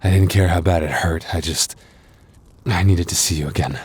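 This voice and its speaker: A man playing an exhausted, wounded werewolf boyfriend in an ASMR roleplay, tender and reassuring, with halting, sighing, intimate delivery. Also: sits low, fairly even intonation, darkish tone, slightly rough. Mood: weary, recounting.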